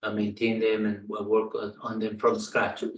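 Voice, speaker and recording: Middle-aged tutorial instructor from Afghanistan, native Persian speaker, halting delivery with fillers, flat tone, far-off echoey room. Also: male voice, lowish voice, a little hiss or hum. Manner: halting, many ums and uhs. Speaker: computer science instructor. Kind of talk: screen-share tutorial walkthrough.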